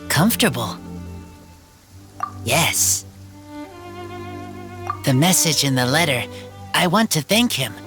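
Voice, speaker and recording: A man voicing an earnest teenage boy raised by wolves, speaking in halting, simple sentences for a video game. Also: fairly high pitch, very animated, music in the background. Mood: wistful, sincere. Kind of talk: video game character voice acting.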